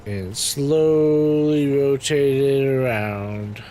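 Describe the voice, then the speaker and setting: A man with a raspy voice, casually commenting to himself as he plays a game, clearing his throat now and then. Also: mid-range voice, drawn-out words, warm tone, a little hiss or hum. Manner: muttering to himself while playing, frequent ums and throat-clearing. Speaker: game streamer.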